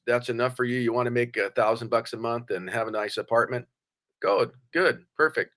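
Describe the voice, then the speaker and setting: A man's voice: medium pitch, bright, forceful tone. An older man as a podcast guest, speaking bluntly and plainly, giving motivational advice in conversation. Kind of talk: conversational interview.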